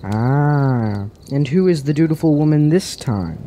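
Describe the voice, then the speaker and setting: An actor playing a teasing, wine-loving young villager with a sardonic manner in a fantasy radio drama. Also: male voice, fairly high pitch, lively intonation, unhurried, dark tone, a little hiss or hum. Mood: merry, sly. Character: wry, wine-loving young village lad, mocking idler.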